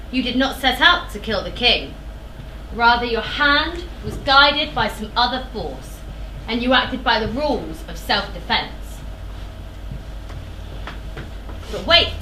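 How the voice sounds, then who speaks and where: A woman's voice: a little high, pushed and bright, full character voice. A woman playing a devout, solemn chorus member in a classical tragedy, declaiming earnestly on a theatre stage. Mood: earnest reassurance. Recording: echoey theatre, faint background noise.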